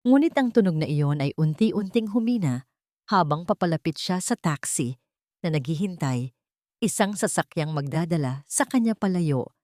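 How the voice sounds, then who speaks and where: A woman's voice: low-pitched, darkish tone, no echo, clean studio sound. A woman with a Filipino accent giving a scripted, dramatic narration of a story.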